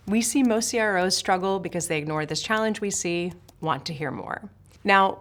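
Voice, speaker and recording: Emphatic woman teaching sales emails. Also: mid-range voice. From Switzerland. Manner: emphatic, direct, addresses viewer. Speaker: sales trainer. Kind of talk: scripted instructional talk to camera.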